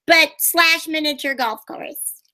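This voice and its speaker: Woman doing a chirpy puppet girl, high and sing-songy, super cheerful. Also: slow, sharp tone, heavily acted. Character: bubbly young puppet girl, cheerful and chatty. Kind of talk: puppet character in interview.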